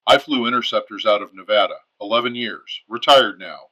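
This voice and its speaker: A man's voice: lowish voice, brisk, sharp tone. A man playing a guarded, secretive retired fighter pilot speaking tersely over a muffled caller line in a radio drama. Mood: guarded, grave.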